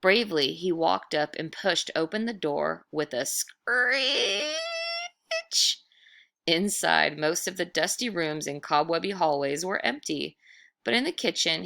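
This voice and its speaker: A woman storyteller with a low, creaky voice reads aloud at an unhurried pace. Her narration is expressive and dramatic, made for children, with certain words drawn out for effect.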